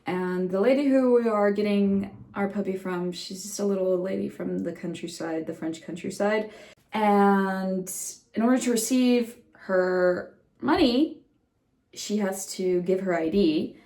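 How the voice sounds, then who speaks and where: A woman's voice: medium pitch, a little slow, dark tone. A young woman chatting casually in a vlog about her day and a book she is reading. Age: young adult. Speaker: vlogger.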